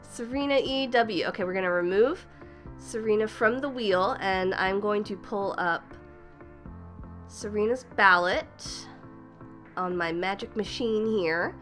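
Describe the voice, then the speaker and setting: Bright-voiced woman hosting, a bit stuffy. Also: medium pitch, unhurried, soft background music. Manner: chatty, upbeat, greets viewers by name and laughs often. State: slightly stuffy from a cold. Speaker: livestream host. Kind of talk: casual livestream hosting.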